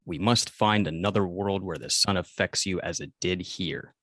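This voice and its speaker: Guy reading a stoic alien robot guardian, deep and dry, formal and measured, table read. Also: a bit bright, no echo. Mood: grave.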